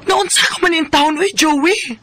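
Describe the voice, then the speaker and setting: A woman's voice: high voice, measured pace, pushed and bright, slight background noise. A woman playing a worldly, bossy older sister in a radio drama, talking frankly and chidingly. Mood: shocked, scolding.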